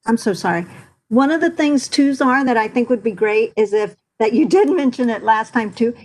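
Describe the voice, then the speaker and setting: A woman's voice: fairly high pitch, lively intonation, normal speed, darkish tone, rough recording. A woman hosting a webinar, asking her guest long, run-on questions over a video call. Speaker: webinar host. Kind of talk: interviewer prompting guest.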